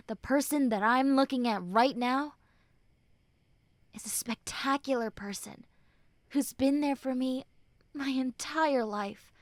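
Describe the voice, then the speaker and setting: A woman playing a normally mean young tsundere who turns tender and caring, speaking haltingly and earnestly to the listener in an intimate roleplay. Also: on the high side, dry recording, clean studio sound. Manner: halting, bashful, earnest, soft and breathy when consoling. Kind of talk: intimate roleplay audio drama, spoken to the listener.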